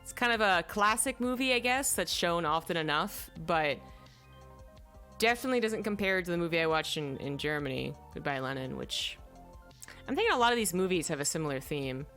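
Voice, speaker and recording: This is a young woman with a low-pitched voice giving a casual spoken film review. She rambles, fills gaps with "like" and "um," and stops to search aloud for names. Faint music plays behind her. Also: lively intonation, brisk, fairly bright tone. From native English speaker. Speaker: vlogger reviewing films.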